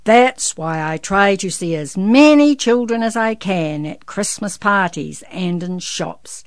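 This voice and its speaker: A woman plays a big, jolly old Santa from a children's storybook. The voice booms out heartily and kindly, taking its time over the words, close and dry. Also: animated, takes it slowly, dry recording. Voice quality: big, booming.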